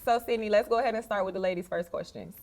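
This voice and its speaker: A woman's voice: medium pitch, racing, a little mellow. Giggly woman co-hosting a dating game. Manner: giggly, upbeat. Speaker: podcast co-host. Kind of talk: casual podcast hosting.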